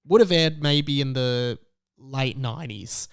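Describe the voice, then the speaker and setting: Clear-voiced young Australian man hosting a podcast. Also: mid-range voice, unhurried, no echo, clean studio sound. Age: late 20s. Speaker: podcast host. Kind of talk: casual podcast monologue.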